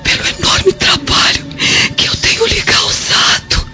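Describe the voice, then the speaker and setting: An actress with a Brazilian accent playing a delirious, guilt-tormented old Roman noblewoman, wailing and halting in melodramatic radio drama style. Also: close to an everyday voice. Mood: remorseful, anguished. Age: older. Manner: wailing, halting, repeating words in anguish. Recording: dull lo-fi radio drama under loud music. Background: dramatic music.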